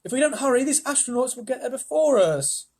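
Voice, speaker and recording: A reader doing a high, anxious young boy. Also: animated, a little fast, darkish tone. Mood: pleading.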